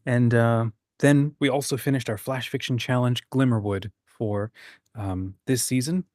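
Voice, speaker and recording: A man with a mid-pitched voice hosts a relaxed livestream chat. He talks informally and rambles along with enthusiasm, and the sound is dry, with very little room in it. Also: a little slow, dry recording. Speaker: host of a writing community livestream.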